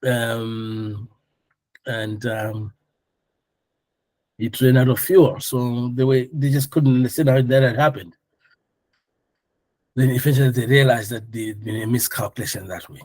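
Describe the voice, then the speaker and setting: A man's voice: medium pitch, fairly even intonation, a little slow, long pauses, darkish tone, no echo, poor audio. A man instructing a class in an informal, conversational and jokey way, asking questions and explaining step by step. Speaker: air traffic control course instructor.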